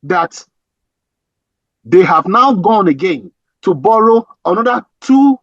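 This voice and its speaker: A man with a husky voice, giving emphatic political commentary with many restarts and repeated phrases. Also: high voice, sing-song, slow, fairly bright tone, no echo, lo-fi sound. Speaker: guest commentator.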